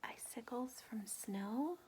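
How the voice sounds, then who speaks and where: A woman reading a picture book aloud to children like a teacher, gently pausing to ask questions and point things out. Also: on the high side, lively intonation, heavy background noise.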